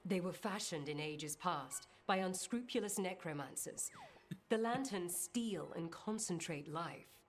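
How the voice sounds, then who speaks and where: A woman's voice: lowish voice, lively intonation, unhurried, soft background music. A woman voicing a composed, scholarly necromancer in a fantasy video game, speaking formally as she explains. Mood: composed, serious. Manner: formal, expository. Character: composed, scholarly female necromancer briefing allies.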